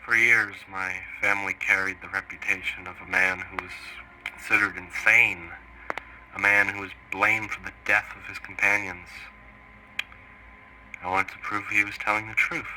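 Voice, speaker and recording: A man playing an earnest witness, slowly and haltingly recounting his story in an interview for a mock documentary. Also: sits low, level tone, sharp tone, slight background noise, low-quality recording. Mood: sober, reflective. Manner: halting, deliberate, pausing mid-sentence.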